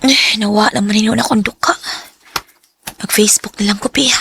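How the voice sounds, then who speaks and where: A woman's voice: mid-range voice, fairly even intonation, fairly quick, gravelly, fairly bright tone, faint music behind. An actress playing a young woman hurt by her cold boyfriend in a radio drama, with a Cebuano Filipino accent. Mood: hurt, frustrated. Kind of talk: Cebuano radio drama acting with first-person narration.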